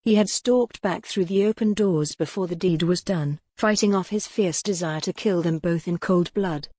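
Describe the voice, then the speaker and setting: Female audiobook narrator, lowish pitch, dry recording, dramatic scripted storytelling for dark period romance. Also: normal speed, no echo.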